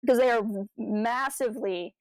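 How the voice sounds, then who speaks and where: A woman's voice: a little high, moderate pace, no room sound. A woman co-hosting a podcast, talking casually in an earnest, reflective and analytical way. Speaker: podcast co-host.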